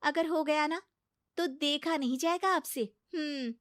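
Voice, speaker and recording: A sarcastic young daughter-in-law, put on by a woman narrator in a comic cartoon. The voice is high, bright and very dry. She nags and pleads in exasperation, with teasing comebacks. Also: a little slow, dry recording. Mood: nagging, sarcastic. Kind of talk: comic Hindi cartoon story acting.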